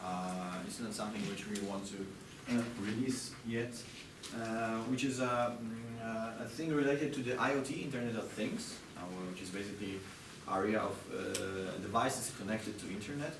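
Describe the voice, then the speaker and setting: Hesitant male demonstrator in a noisy room. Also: lowish voice. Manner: hesitant, halting delivery with many long filler pauses. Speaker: product demonstrator. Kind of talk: live product demonstration to an audience. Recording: noisy, echoey room, distant microphone.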